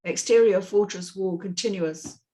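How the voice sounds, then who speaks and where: A woman's voice: mid-range voice, normal speed, mellow, rough recording. Female narrator for a script table read, middle-register voice, reads scene directions. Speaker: script reading host and narrator. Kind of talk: reading screenplay action lines.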